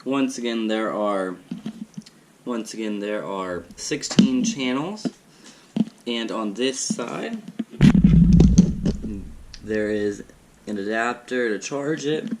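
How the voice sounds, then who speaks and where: A man giving a casual unboxing demonstration in a halting way, often repeating and correcting himself. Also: fairly low pitch, lively intonation. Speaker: product reviewer. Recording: noisy recording with loud background noise and clicks.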